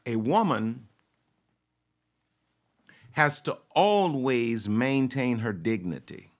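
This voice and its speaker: Man, pastor, sermon, very slow delivery, repeats phrases, rhetorical questions. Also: mid-range voice. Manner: speaks in the first person of a confident woman to make his point.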